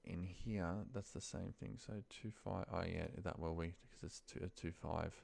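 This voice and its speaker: Low, creaky-voiced man talking through screen work. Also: steady tone, normal speed, a little mellow. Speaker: trainer demonstrating office data work. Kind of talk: casual screen-share walkthrough, thinking aloud. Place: voice-over of a screen recording.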